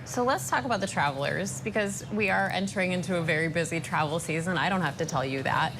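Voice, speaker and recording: An American woman journalist putting questions in a news interview, measured and polite but probing. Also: on the low side, noisy background.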